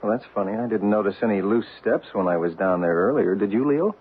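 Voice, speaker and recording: An actor playing a practical, easygoing photographer in a vintage radio drama, speaking in a casual, matter-of-fact way. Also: male voice, lowish voice, quick pace, slightly rough. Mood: puzzled. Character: practical, easygoing male photographer assisting an investigation. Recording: noisy, narrow-band old radio broadcast.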